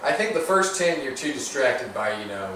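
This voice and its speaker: A man's voice: mid-range voice, big pitch swings, normal speed, fairly bright tone. A man in his thirties hosting a casual podcast chat, talking in an earnest, motivational, speechifying way. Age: 30s. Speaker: podcast host. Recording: echoey room, mic at a distance, noisy.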